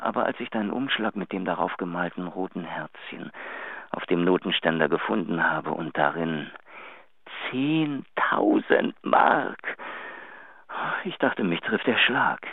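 An actor playing an elated, tender musician in love, excitable and sometimes murmuring, in a radio drama phone message. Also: male voice, low voice, a little slow, breathy edge, no echo, full character voice. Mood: amused, excited. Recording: muffled lo-fi line, music behind.

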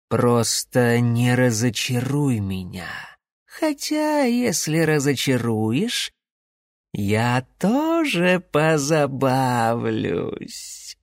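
A man voicing a haughty, menacing inhuman warrior creature in an audiobook, with a soft, lulling, singsong and condescending delivery. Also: mid-range voice, no room sound, studio quality, exaggerated voice. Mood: haughty, threatening. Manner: slow, hypnotic, condescending.

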